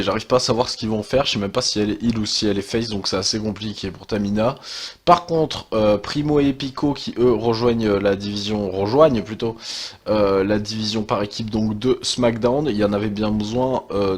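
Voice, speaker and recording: A young man with a head cold talks in a low, husky voice. He chats loosely and hesitantly, filling pauses with sighs and fillers. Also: fairly even intonation. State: has a cold, stuffy. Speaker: wrestling channel host. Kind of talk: casual commentary monologue.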